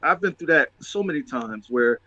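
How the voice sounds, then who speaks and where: A man's voice: fairly high pitch, expressive, moderate pace, sharp tone, no room sound, highs cut off. A man talking casually and motivationally as a show host, emphatic and testifying, repeating phrases for stress.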